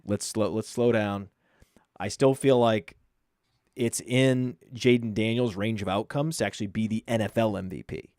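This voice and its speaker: A man from Maine with a mostly suppressed Maine accent, hosting a casual sports podcast, often repeating words and trailing off quietly. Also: fairly low pitch, steady tone, moderate pace, no room sound, clean studio sound. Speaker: podcast host.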